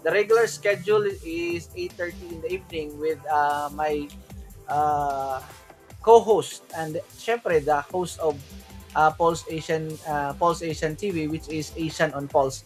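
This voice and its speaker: A man's voice: on the high side, steady tone, a little slow, faint music behind, poor audio. A man from the Philippines with a Filipino accent, hosting a solo crypto livestream in a loose way, switching into Tagalog now and then and asking "right?" after many of his points. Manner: loose, repeats "right?" often, mixes in Tagalog.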